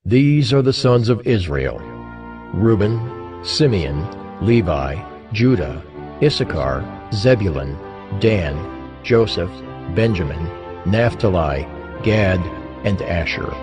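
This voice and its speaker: A man reading scripture as scripted, dramatized narration, in a solemn, measured manner with deliberate pauses. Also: lowish voice, lively intonation. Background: background music.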